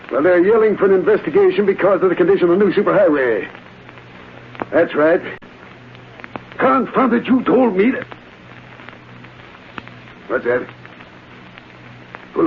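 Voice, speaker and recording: A man playing an irascible, domineering official in an old radio drama, gruff and imperious, on a tinny, noisy recording. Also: high-pitched. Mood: agitated. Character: irascible, domineering male highway commissioner, blustering boss.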